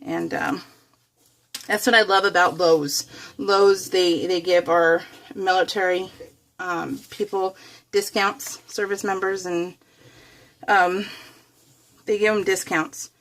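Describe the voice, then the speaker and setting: A woman's voice: lowish voice, fairly even intonation, takes it slowly, pauses often, fairly bright tone. A middle-aged woman with an American accent chatting casually and in a rambling, exclamatory way, as a craft vlogger does while working. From United States.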